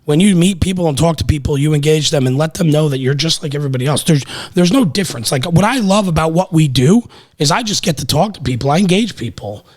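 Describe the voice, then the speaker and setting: A middle-aged man with a slightly high voice talks into a podcast mic. He is casual and blue-collar in his phrasing, driving points home by repeating them. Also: expressive, fast, darkish tone. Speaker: podcast host and firefighter. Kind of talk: casual, animated shop talk. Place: small podcast room indoors at a table. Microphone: podcast microphone on a stand.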